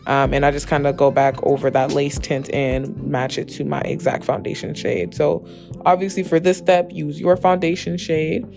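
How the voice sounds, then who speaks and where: A young adult woman with a slightly hoarse, raspy voice, giving a chatty, emphatic beauty tutorial as a casual voice-over. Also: deep voice, little pitch movement, moderate pace. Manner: chatty, emphatic, addresses viewers familiarly. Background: background music with guitar.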